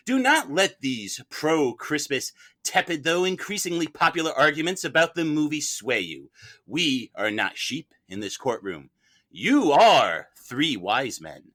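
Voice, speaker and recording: Bright, animated man as defense counsel. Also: medium pitch. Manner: theatrical, mock-grave oratory. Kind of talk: scripted comic courtroom speech.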